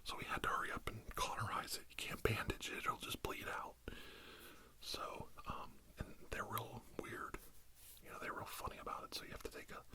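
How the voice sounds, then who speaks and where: A man doing rambling ASMR storytelling as a self-styled healing doctor, in a meandering, hesitant, deadpan earnest manner. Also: a little fast.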